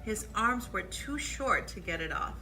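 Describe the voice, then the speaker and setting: Very bright, animated woman reading a storybook. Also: medium pitch, faint music behind, low-quality recording. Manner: expressive, pauses to point out pictures. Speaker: library storytime reader. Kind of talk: children's picture book read-aloud.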